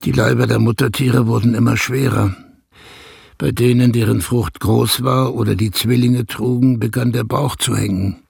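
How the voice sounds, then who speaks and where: Very creaky, husky shepherd. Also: male voice, medium pitch, fairly even intonation, normal speed, darkish tone, no room sound, clean studio sound, heavily acted. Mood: sober, reflective. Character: sober, burdened male shepherd recalling hard years. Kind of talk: first-person radio play narration.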